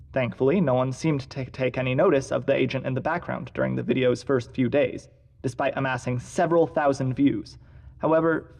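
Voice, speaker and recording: An actor playing a solemn investigator who narrates a secret agency's audio log in a formal, measured, creaky voice. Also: male voice, mid-range voice, darkish tone.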